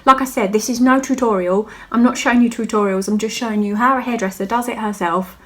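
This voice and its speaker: A woman's voice: on the high side, steady tone, fast, fairly bright tone. A woman, a hairdresser chatting casually to her viewers in a video diary, rambling from one thing to the next. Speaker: vlogger and hairdresser.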